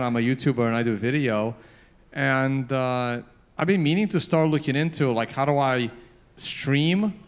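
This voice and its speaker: A man's voice: medium pitch, smooth. A man speaking as a YouTuber on a conference panel, hesitant with many fillers, into a handheld mic on a large hall stage. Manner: halting, many fillers and restarts. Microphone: handheld microphone.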